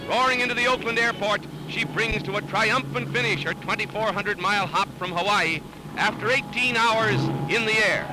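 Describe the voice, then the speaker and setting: A man's voice: high-pitched, rough recording. A man announcing a scripted 1930s newsreel with dramatic, florid delivery on an old film soundtrack. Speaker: newsreel narrator. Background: music.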